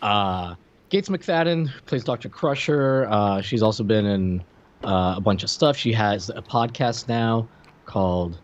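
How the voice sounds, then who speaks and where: A man's voice: lowish voice, measured pace, dull audio. A man co-hosting a podcast, chatting casually about film and television with wry, joking asides. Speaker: podcast co-host.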